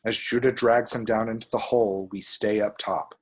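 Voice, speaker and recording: A man's voice: lowish voice, steady tone, moderate pace, slightly rough. A man reading screenplay action descriptions aloud as dramatic, vivid narration at a table read.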